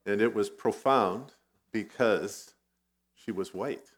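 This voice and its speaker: A middle-aged man who grew up in Vietnam, a guest pastor giving a conversational sermon with personal stories, now and then clearing his throat. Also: fairly low pitch, takes it slowly. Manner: conversational, self-deprecating storytelling.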